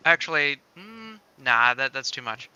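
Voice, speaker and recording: A man's voice: medium pitch, sing-song, a little slow, sharp tone, clean tone, highs cut off. A man chatting casually as he works on a livestream demo, laid-back and half-muttering to himself. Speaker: game creator demonstrating on a livestream. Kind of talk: casual chat while working.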